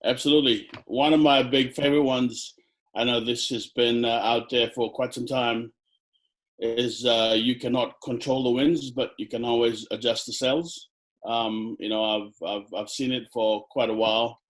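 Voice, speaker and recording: A middle-aged man from Fiji who grew up in New Zealand, answering interview questions slowly with many ums, over a video call headset. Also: medium pitch, flat delivery, low-quality recording. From Fiji, raised in New Zealand. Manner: hesitant, many ums and uhs. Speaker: chiropractor guest. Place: indoor room on video call.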